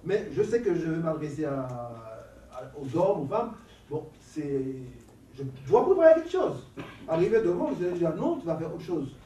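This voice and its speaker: Animated man in an echoey room. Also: a little high, moderate pace. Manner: animated, laughing, repeats words. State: has a cold. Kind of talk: casual teaching talk with a group. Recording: echoey room, from a distance, faint noise.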